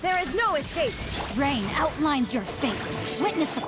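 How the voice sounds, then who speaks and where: Casting: young anime game fighter girl, very high, animated battle cries over loud music. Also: measured pace. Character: young female anime fantasy fighters shouting battle lines. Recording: game audio under loud music, crashes.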